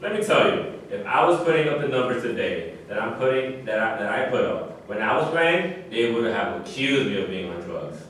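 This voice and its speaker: A man's voice: mid-range voice, big pitch swings, brisk, hardly any pauses, fairly bright tone. A man acting a proud, indignant retired athlete, speaking emphatically in a staged play reading. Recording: very echoey room, distant mic, faint noise.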